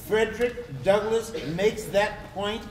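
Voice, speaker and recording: A man with a high voice reads historical narration from a stage. The sound is roomy and distant, with audience noise around him. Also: slow, crowd noise. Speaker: stage narrator in readers theatre. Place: live stage performance in a hall.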